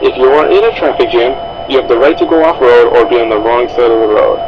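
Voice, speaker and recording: A man's voice: medium pitch, fast talker, natural voice. A man playing a grave government representative giving a solemn, formal emergency address over a muffled, humming broadcast. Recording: muffled, noisy radio-style broadcast with hum.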